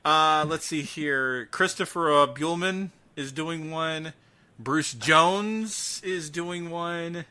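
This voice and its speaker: A man's voice: fairly high pitch, slow, pushed and bright, clear, resonant tone. A man hosting a casual podcast chat, joking at his own expense and swearing freely. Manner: jokey, self-mocking, swears freely. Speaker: podcast host. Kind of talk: casual profane podcast chat about horror.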